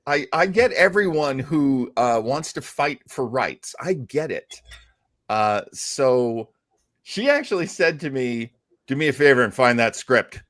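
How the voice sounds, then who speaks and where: An American man hosts a relaxed discussion in a halting, measured way, pausing partway through his sentences. His pitch is lively and varied. The sound is dry, with little room on it. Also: no room sound. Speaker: host of an old-time radio discussion show. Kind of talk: casual hosting and discussion.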